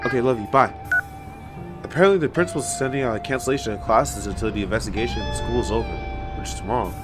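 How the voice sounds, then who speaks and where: A woman playing a dry, sardonic high-school hero in an amateur superhero audio drama. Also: quick pace, over music. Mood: uneasy, casual.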